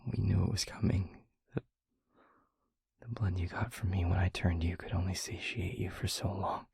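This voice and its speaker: A man playing a gentle, concerned vampire boyfriend in an intimate audio roleplay, speaking softly and haltingly with a breathy, husky voice. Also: deep voice, fairly even intonation, no room sound, exaggerated voice. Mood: gentle, reassuring. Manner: soft, hesitant, halting, reassuring.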